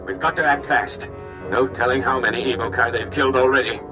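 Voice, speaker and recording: A man's voice: medium pitch, steady tone, fairly quick, close to an everyday voice. A man playing an earnest resistance leader in a video game, speaking with pressing, sincere authority. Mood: urgent. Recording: music behind the voice.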